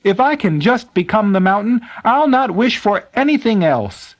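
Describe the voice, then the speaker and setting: A man's voice: high voice, big pitch swings, moderate pace, voice pushed up. A man voicing a wistful stonecutter who wishes to be stronger, exclaiming in a storybook tale. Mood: eager, sure. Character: male stonecutter, ever wishing to be stronger.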